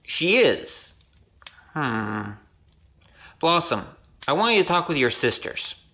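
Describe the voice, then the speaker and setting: A woman voicing a wry, quick-witted young male trickster in a kung fu audio drama. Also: deep voice, very animated, sharp tone, dull audio, exaggerated voice. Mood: wry scheming. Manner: wry, casual, scheming.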